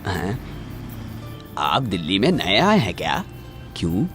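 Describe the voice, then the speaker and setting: A man's voice: sits low, very animated, takes it slowly, fairly bright tone, soft background music, full character voice. A man playing an ordinary grown man in a spooky cartoon story, with conversational acted dialogue. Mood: puzzled, indifferent.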